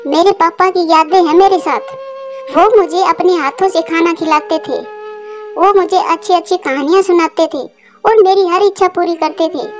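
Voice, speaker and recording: A woman voicing a stubborn, tender little daughter bird in a cartoon, with a plaintive, childlike delivery. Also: very high voice. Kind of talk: Hindi moral-story cartoon dialogue.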